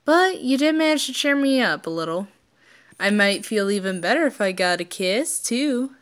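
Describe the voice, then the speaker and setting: A woman's voice: medium pitch, lively intonation, normal speed, a bit bright, lightly acted. Woman reading as dry, teasing young man.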